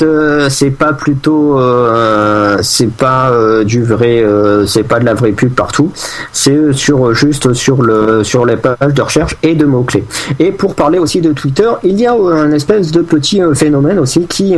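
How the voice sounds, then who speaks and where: A man co-hosting a casual tech podcast, explaining news in a rambling way with many fillers and restarts. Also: medium pitch. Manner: jokey, fake accents for laughs.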